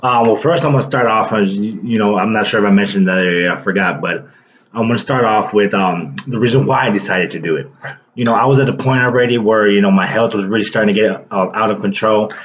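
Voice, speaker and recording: A man's voice: lowish voice, quick pace, highs cut off, a little hiss or hum. A man in his late twenties telling a personal story to his viewers in a loose, rambling way, full of fillers and restarts. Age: 20s. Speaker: vlogger. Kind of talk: casual personal story to camera.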